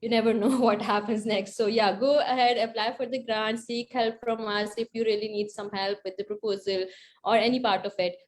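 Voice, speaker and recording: A woman's voice: on the high side, little pitch movement, a little fast. A woman offering informal, encouraging advice as a panelist.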